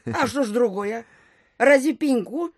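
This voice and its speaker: A woman's voice: fairly high pitch, animated, no room sound, studio quality. A woman acting an elderly, simple, fretful widow landowner in an audio drama, with a folksy, plaintive manner. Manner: folksy, plaintive, slow-witted.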